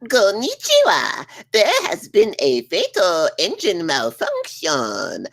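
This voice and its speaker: A woman's voice: lowish voice, huge pitch swings, slow, vocal fry, fairly bright tone, breathy edge, exaggerated voice. A woman voicing a cheery, cutesy female ship computer with a chirpy manner in a comedy table read. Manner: chirpy, giggly.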